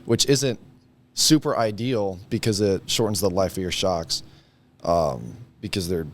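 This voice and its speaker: A young man chatting casually as a guest, explaining things in a halting way. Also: low voice. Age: young adult. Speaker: guest, high school senior. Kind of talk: casual chat. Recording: faint background noise.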